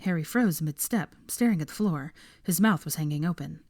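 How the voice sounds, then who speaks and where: A woman's voice: low-pitched, moderate pace, a little mellow, dry recording, studio quality. A woman narrating a story as an audiobook reader, from a script.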